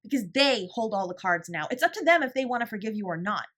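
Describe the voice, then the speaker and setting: A woman's voice: fairly high pitch, very animated, quick pace, a bit bright. A woman playing a fiercely protective older sibling confronting a parent in an audio drama, scolding and emphatic with a sarcastic edge. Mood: stern, commanding. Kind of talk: dramatic audio roleplay acting.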